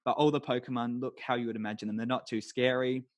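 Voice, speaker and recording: A man's voice: medium pitch, steady tone, fast, no echo. A man co-hosting a podcast about films in a casual, conversational way, often dropping "you know" into his sentences. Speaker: podcast co-host discussing films. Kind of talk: casual chat.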